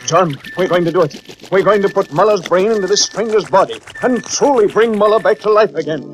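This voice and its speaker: An actor playing an obsessed, urgent professor of science in old radio drama, earnest, commanding and melodramatic. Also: male voice, high-pitched, fairly quick, lightly acted, rough recording. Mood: feverish excitement. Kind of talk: 1940s radio drama acting. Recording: old radio recording, music behind.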